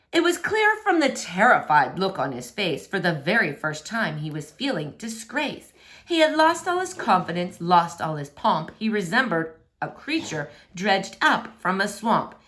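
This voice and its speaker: Woman, storybook narrator, bright, highly animated intonation, expressive rhyming read-aloud.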